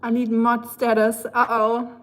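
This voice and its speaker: Woman, German accent, young adult, livestream host, casual playful chat, slightly high voice. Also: monotone, a little slow. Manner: chatty, laughs often, playful asides. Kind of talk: casual chat reading viewer messages.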